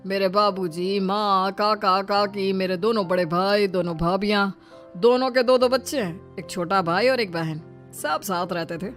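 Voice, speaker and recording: A performer voicing a mild older father-in-law in a cartoon family story, placating and explaining in a measured way. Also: medium pitch, a bit bright, faint music behind, natural voice. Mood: calm, placating. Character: mild, patient elderly father-in-law, peacemaking husband. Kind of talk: Hindi animated moral story acting.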